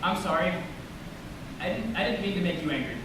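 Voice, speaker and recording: Guy acting anxious literal young man in a play, high voice, really echoey and far from mic. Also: animated, a little fast. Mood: anxious, apologetic. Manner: literal, over-explaining, earnest. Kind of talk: live stage play acting. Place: theatre stage, recorded from a distance. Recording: very echoey noisy stage recording from afar.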